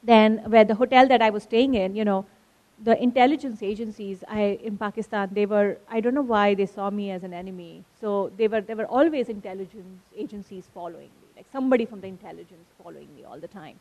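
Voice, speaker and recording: A woman's voice: medium pitch, fast talker, a little mellow, low-quality recording. A woman from India, a filmmaker telling personal stories conversationally as an interview guest. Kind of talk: conversational storytelling in a panel interview.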